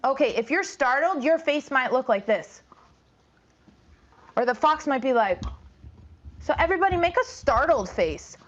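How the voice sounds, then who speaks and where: A woman's voice: sits high, big pitch swings, moderate pace, pauses often, highs cut off. A woman reading a storybook to young children as their teacher, explaining words and prompting them to join in. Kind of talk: interactive storybook read-aloud.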